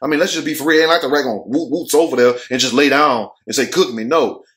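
A man's voice: medium pitch, fast talker, a bit bright. An American man in his thirties giving casual, opinionated commentary as a show host, blunt, slangy and joking, with plenty of swearing. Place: furnished room at home.

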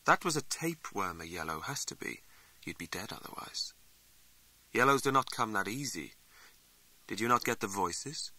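A man's voice: lowish voice, lively intonation, normal speed, pauses often, bright, forceful tone, dry recording, heavily acted. A man voicing a suave, scolding older male mentor in an audiobook, arch, courtly and condescending. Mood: insistent, knowing. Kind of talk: audiobook character dialogue.

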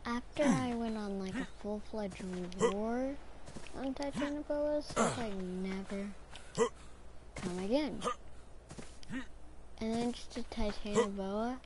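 Slow-talking hoarse woman gamer. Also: a little high, noisy background. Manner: rambling, talks to herself while playing. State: hoarse, recovering from strep throat. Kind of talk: casual gameplay commentary.

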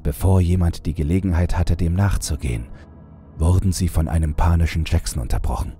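A man's voice: low-pitched, brisk, mellow, faint music behind. A man reading scripted first-person horror fiction as an audiobook narrator.

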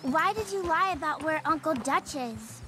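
An innocent little boy in a Western game drama. The voice is extremely high and shy, and loud music plays behind it. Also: expressive, normal speed. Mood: worried. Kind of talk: dramatic video game acting.

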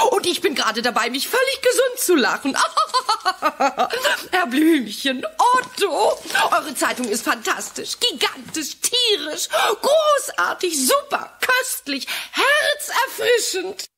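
Frantic, high-pitched newspaper reporter woman. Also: sing-song, takes it slowly, hardly any pauses, sharp tone, exaggerated voice. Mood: elated, gushing. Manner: theatrical, gushing, exaggerated. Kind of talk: children's audio drama acting.

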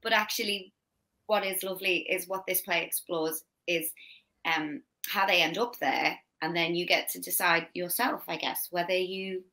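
A woman's voice: on the low side, steady tone, bright, forceful tone, poor audio. A young woman with a Scouse accent, an actress guest chatting about her role on a video call. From Liverpool. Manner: chatty, quick restarts, laughing asides. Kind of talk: casual interview chat. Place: home room, video call.

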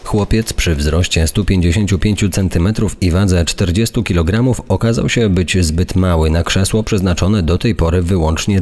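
A man's voice: low voice, darkish tone. A man reading scripted documentary narration in a formal, factual way.

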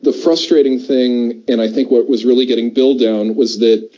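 A man with a dark, relaxed voice of middling pitch chats reflectively on a podcast. His audio is somewhat dull and lo-fi. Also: moderate pace. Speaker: podcast panelist. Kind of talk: casual reflective chat.

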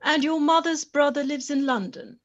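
A woman's voice: sits high, normal speed, dull audio, close to an everyday voice. A woman playing a snobbish, probing society lady in a period radio play. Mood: probing, condescending. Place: room at home, on a video call.

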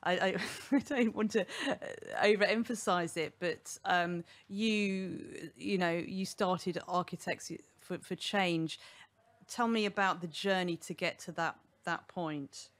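A woman hosting a live radio panel discussion in a studio, speaking into a boom-arm mic. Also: takes it slowly, no echo. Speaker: radio host. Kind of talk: hosted panel interview. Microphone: studio mic on boom arm, headphones.

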